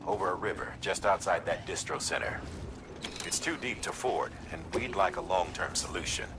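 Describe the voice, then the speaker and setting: A man acting a steady, earnest mission handler who gives measured briefings in a video game. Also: low-pitched, noisy background. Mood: friendly briefing. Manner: measured, explanatory.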